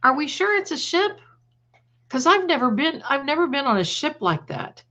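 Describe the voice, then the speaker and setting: A woman's voice: high voice, sing-song, normal speed, pushed and bright. A woman hosting a casual live chat, rambling and repeating phrases, with affectionate endearments for her viewers. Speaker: livestream host and creator.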